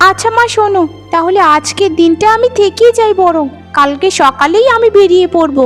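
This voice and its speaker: A woman's voice: extremely high pitch, fairly quick. A woman voicing a vain, petulant young married daughter in a melodramatic cartoon, with an affected, wheedling manner. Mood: resentful. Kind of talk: Bengali cartoon dubbing, melodrama. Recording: music behind the voice. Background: background music.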